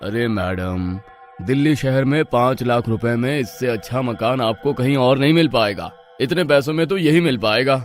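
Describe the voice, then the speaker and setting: A man's voice: medium pitch, normal speed, soft background music, natural voice. A man playing a sly, scheming property dealer in a horror story, muttering and ingratiating like a salesman. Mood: persuasive. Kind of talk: dramatised Hindi horror story.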